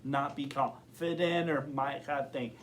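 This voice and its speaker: Slow-talking young man who stutters. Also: medium pitch, fairly even intonation, a little hiss or hum. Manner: stutters, with many fillers and repeated words; thoughtful, open. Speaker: interviewee. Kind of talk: casual interview.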